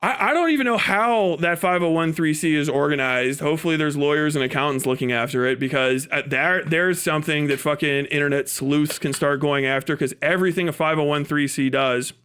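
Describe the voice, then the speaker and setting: A male livestream host with a notably high-pitched voice delivers casual, ranting commentary. He is emphatic and swears freely, building his argument by posing questions and answering them himself. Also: fairly quick, hardly any pauses, fairly bright tone, smooth.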